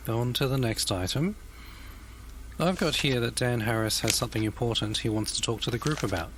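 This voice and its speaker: An actor playing a kind, formal old-school gentleman who chairs a meeting politely in an audio drama. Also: mid-range voice, moderate pace, noisy background, natural voice. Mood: genial, formal.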